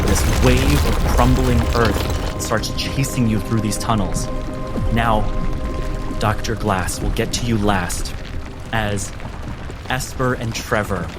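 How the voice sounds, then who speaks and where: A man narrating a tabletop roleplaying game as game master, with dramatic, deliberate phrasing. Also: lowish voice. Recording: music behind the voice.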